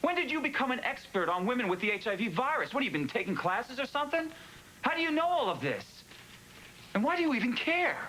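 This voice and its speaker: A man's voice: extremely high pitch, sing-song, quick pace, pushed and bright, a little hiss or hum, full character voice, poor audio. An actor playing a man who presses his cousin with incredulous, emphatic questions. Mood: pressing. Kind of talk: TV drama acting.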